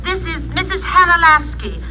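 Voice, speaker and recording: A woman's voice: extremely high pitch, sing-song, normal speed, sharp tone, full character voice. A woman playing an anxious, polite middle-aged mother in an old radio drama, stammering and apologetic on a phone call. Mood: worried. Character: anxious, polite small-town mother phoning about missing daughter. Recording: old radio, noisy telephone-like line.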